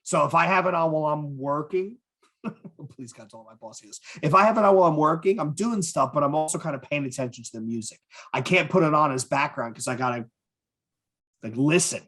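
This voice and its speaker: A man's voice: a little high, quick pace, fairly bright tone. A man co-hosting a podcast in casual, profane, enthusiastic chat about what he is a fan of. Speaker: podcast co-host.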